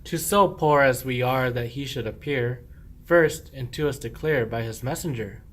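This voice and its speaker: A man's voice: medium pitch, normal speed, fairly bright tone, lightly acted. A man playing a humble, devout medieval shepherd in a radio play, declaiming rhymed verse. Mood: awed.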